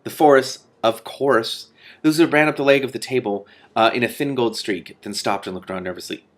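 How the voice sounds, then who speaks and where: Guy reading a fantasy novel out loud, expressive, midrange voice, trips on a word sometimes. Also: mid-range voice, fast talker. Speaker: reader and commentator. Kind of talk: expressive storybook read-aloud.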